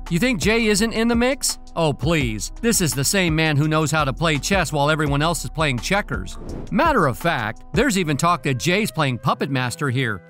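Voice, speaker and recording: A man narrates scripted celebrity gossip with a sassy, conversational edge. His voice sits somewhat high and swings expressively for dramatic effect. Light music plays underneath. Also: a bit bright.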